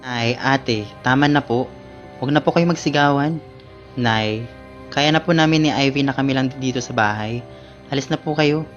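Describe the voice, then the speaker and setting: A man's voice: medium pitch, normal speed, pauses often, natural voice. A man acting a dutiful, peacemaking teenage son in an amateur Filipino radio drama. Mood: placating. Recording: dull lo-fi sound, music bed.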